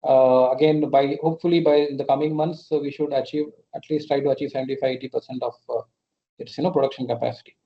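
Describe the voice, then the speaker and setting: A man's voice: mid-range voice, steady tone, fast. A man with an Indian accent answering questions as a company executive on an earnings call, hesitant and often repeating words as he explains. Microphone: conference call connection. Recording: muffled, band-limited conference call audio.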